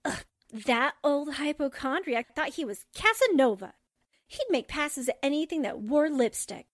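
A woman's voice: sits high, animated, measured pace, dry recording, studio quality. A woman playing a disdainful, catty party guest with a sardonic drawl, in 1940s radio drama style. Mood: scornful.

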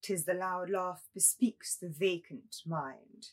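A woman's voice: lowish voice, slow. A woman playing the pompous, raging ghost of William Shakespeare in a theatrical, mock-dignified manner for a radio-play reading. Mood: stately, crowing.

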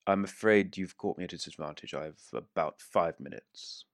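A man's voice: low-pitched, brisk, dry recording, clean studio sound. A man voicing a haughty, impatient male mayor who speaks in a clipped, formal and dismissive way.

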